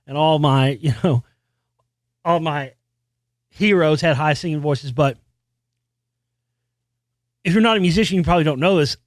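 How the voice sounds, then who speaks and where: A man's voice: moderate pace, pauses often, no echo, studio quality. A man hosting a podcast alone, talking in a loose, rambling way with restarts and casual swearing. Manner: experienced singer with a high singing voice. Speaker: podcast host. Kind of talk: casual solo monologue about music.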